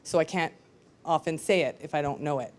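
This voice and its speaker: A woman's voice: deep voice, a little fast, a little mellow. A woman telling a personal story to an audience in a candid, conversational, self-reflective way. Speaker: speaker at a live storytelling show.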